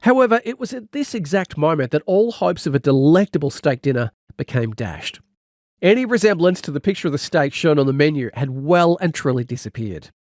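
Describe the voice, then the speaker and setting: Animated man narrating a comedy audiobook. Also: on the high side, a little fast, no echo, clean studio sound.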